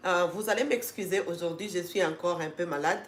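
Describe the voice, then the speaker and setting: A woman's voice: lowish voice, brisk, a little hiss or hum. Congested woman host, live-stream talk to viewers, filler-heavy, urgent at times, echoey room. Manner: talks with many fillers and restarts, grows emphatic and urging. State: congested with a cold. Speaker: host of an educational channel.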